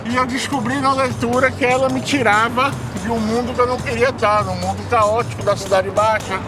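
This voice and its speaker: Man, 50s, high voice, reflective documentary interview over music. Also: fairly even intonation, brisk, a crowd in the background. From Salvador, Bahia, Brazil. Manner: reflective, plainspoken, casual swearing. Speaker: music producer.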